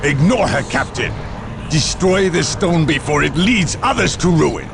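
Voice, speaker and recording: A man's voice: on the high side, lively intonation, measured pace, vocal fry, background noise. A man playing a zealous, commanding inquisitor in a video game cutscene, speaking formally and with authority. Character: solemn commanding male inquisitor, zealous, world-weary judge of men.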